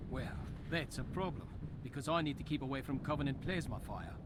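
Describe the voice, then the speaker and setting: A man voices a decent ex-cop driver in a game drama, middle-pitched, with a blunt and wry delivery. Loud vehicle rumble runs behind him. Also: animated, brisk, natural voice. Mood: exasperated. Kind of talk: game audio drama acting.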